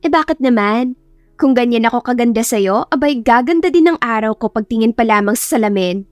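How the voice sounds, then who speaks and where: A woman's voice: a little high, lively intonation, fairly quick, dry recording, studio quality, faint music behind, natural voice. A woman voicing a playful, teasing young female teacher in an audiobook love story.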